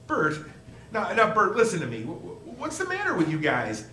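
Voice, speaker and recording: Man acting a desperate, high-voiced family man. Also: wildly swooping pitch, normal speed, bright, forceful tone, heavily acted. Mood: bewildered, pleading. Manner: stammering, urgent, pleading. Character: desperate, distraught family man pleading for help. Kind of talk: live stage re-creation of 1940s radio play. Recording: roomy stage sound, low rumbling noise.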